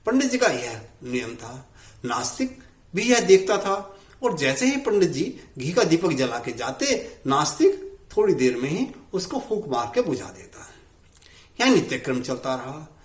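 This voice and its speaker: Male folk-tale narrator, conversational and highly expressive, slightly high register, hosting a story channel. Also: measured pace, echoey room. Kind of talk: oral moral storytelling.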